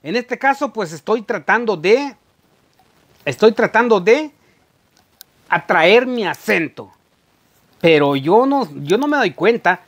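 A middle-aged man, chatting casually to camera in a halting, repetitive way as a vlogger. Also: high voice. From Guatemala. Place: indoor room at home.

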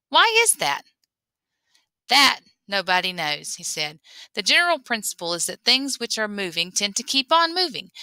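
Bright-voiced woman reading aloud. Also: mid-range voice, no echo. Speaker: reader. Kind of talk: expressive read-aloud of a memoir.

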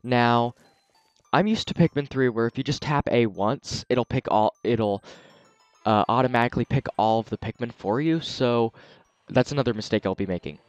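Slightly raspy man explaining a game. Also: medium pitch, moderate pace, dry recording, faint music behind. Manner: chatty, explains as he plays, talks to his game characters. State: slightly raspy, scratchy sore throat. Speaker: let's play host. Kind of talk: casual gameplay commentary.

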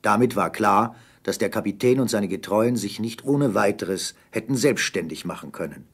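A man with a husky voice narrating an adventure radio play as scripted storytelling. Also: on the low side, moderate pace.

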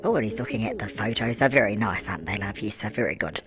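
A man's voice: lowish voice, lively intonation, fast talker, close to an everyday voice. A man voicing a chatty, doting old grandmother, fussing, cooing endearments and answering her own questions while narrating a life-sim game. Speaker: gameplay narrator. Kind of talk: life-sim gameplay commentary in character. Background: music.

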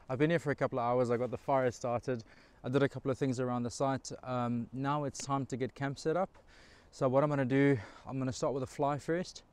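A man's voice: mid-range voice, darkish tone. A man in his thirties talks enthusiastically to his viewers from a forest campsite. He sounds chatty and pleased, and his voice is picked up outdoors by a camera mic. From Australia. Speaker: camping vlogger. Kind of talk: casual solo camping vlog. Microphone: camera mic, handheld selfie and tripod framing.

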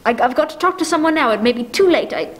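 A woman's voice: sits high, lively intonation, fast. A young woman acting an imperious, nervous wife in a 1940s-style radio melodrama, stammering and breathless with panicky indignation. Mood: desperate. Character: frantic, imperious, nervous invalid wife on the telephone. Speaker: amateur actor in a stage adaptation of a radio play. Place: dark stage, indoors. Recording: clearly noisy amateur stage recording.

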